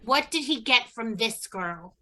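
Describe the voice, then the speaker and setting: Woman as a forceful, stern police chief. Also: fairly high pitch, lively intonation, measured pace, full character voice. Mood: probing, dry. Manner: forceful, commanding, indignant. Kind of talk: old-time radio crime drama acting.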